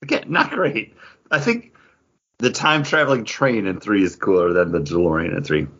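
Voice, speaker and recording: Husky, animated man chatting about geek stuff. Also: lowish voice, normal speed, lo-fi sound. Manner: enthusiastic geeky fan talk. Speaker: podcast co-host. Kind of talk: casual pop-culture chat.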